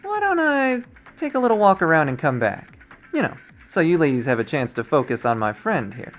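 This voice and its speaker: A reader doing a cocky young man with a loud, mocking swagger. He talks crudely and brags, his voice jumping high and swinging all over the place. He is voiced from a visual novel readthrough. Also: sing-song, a little fast, soft background music, natural voice. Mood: sly. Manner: teasing, crude and boastful. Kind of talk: visual novel read-aloud.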